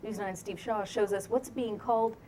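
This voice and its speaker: A woman news anchor reading a scripted evening newscast in a measured, clear way. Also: medium pitch, steady tone, crowd noise.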